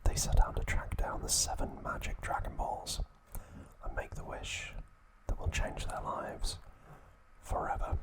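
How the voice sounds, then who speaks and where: A man hosting an ASMR video, speaking in a breathy, hushed whisper with deliberate, separated phrases, close to the microphone. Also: rough recording. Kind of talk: ASMR, whispered trivia and show-and-tell.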